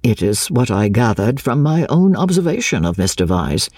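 Woman reading as a gentle, mellow English gentleman. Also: very deep voice, very animated, slightly rough, dry recording, studio quality, voice pushed down, exaggerated voice. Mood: gentle, sympathetic. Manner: gentle, formal, measured. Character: courteous, measured, sympathetic English gentleman. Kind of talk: audiobook character dialogue.

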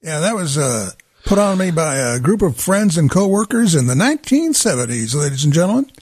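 A man's voice: fairly high pitch, big pitch swings, fairly quick, darkish tone, slightly rough, no echo. Dry, wry older man on radio. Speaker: radio host. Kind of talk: casual radio banter. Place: radio studio. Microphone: studio mic.

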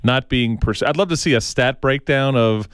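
A man's voice: mid-range voice, moderate pace, fairly bright tone, smooth, dry recording, clean studio sound. A man hosting a talk radio show, speaking casually and bluntly, with emphatic opinions.

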